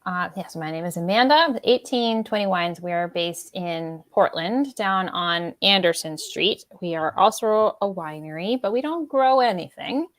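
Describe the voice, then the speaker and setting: A woman's voice: on the low side, animated, moderate pace, hardly any pauses, no echo. A woman from Maine, a rhubarb winemaker talking as a podcast guest in a chatty, easygoing way with frequent fillers and laughs. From Maine, USA. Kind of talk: casual podcast conversation.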